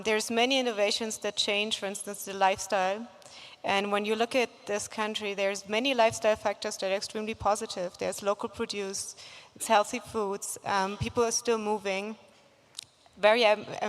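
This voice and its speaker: A woman professor speaks on a conference panel in a mid-range voice, measured and thoughtful. A long hall reverb trails her words. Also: mid-range voice, fairly bright tone. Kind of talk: panel discussion.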